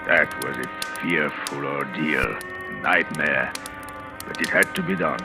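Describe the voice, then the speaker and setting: A man's voice: a bass voice, measured pace. An actor playing a scheming, self-assured husband in a 1940s radio thriller, theatrical and intense, confessing his own crime. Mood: brooding, smug. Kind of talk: 1940s radio thriller acting, first-person confessional narration. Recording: old radio recording with dramatic music.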